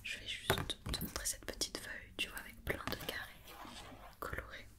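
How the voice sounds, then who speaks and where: Whispering woman, ASMR doctor roleplay. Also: moderate pace. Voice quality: soft breathy whisper. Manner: patient, methodical, repeating instructions and questions. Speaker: ASMR performer playing a doctor examining a robot.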